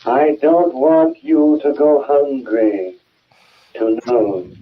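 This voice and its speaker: Through a tinny, distant old radio recording comes a halting spirit medium, acted by a man. He relays a message from the dead extremely slowly, in vintage radio drama style. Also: medium pitch, lively intonation. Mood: slow, solemn.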